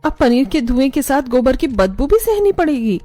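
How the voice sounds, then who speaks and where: A woman's voice: high voice, sing-song, normal speed, dark tone, soft background music. A woman voicing a fed-up, scheming young daughter-in-law in a cartoon, complaining and exasperated.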